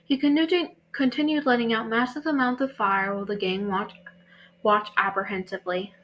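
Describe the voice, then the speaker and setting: A woman reads a story aloud in a bright voice. She is an amateur narrator who stumbles and repeats words, recorded at home with a little background noise. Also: fairly high pitch, steady tone, a little fast, poor audio. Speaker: amateur fan fiction narrator. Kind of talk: story reading.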